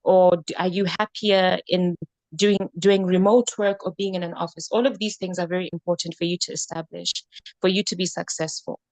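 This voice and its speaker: A woman's voice: lowish voice, steady tone, fairly quick, darkish tone, dry recording, low-quality recording. A woman on a panel giving earnest career advice, listing questions to ask yourself.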